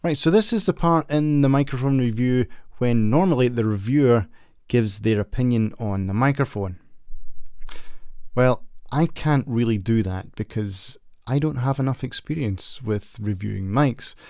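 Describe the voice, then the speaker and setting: A man's voice: medium pitch, moderate pace, mellow, no room sound. A man in his thirties giving a casual microphone review from a small home studio, talking plainly and modestly with some fillers. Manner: plain, unpolished, self-deprecating talk with fillers. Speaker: tech reviewer. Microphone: large studio condenser mic close up, headphones on.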